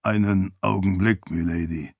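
A man's voice: low voice, fairly even intonation, moderate pace, gravelly, breathy edge, no room sound, clean studio sound, full character voice. A man voicing a loyal, formal servant spirit of light in a fantasy audiobook. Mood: deferential.

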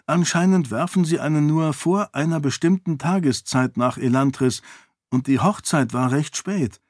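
A man's voice: fairly high pitch, normal speed, a little mellow, dry recording, studio quality, voice pushed up, natural voice. A man voicing a determined, affectionate young princess in an audiobook story. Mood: matter-of-fact.

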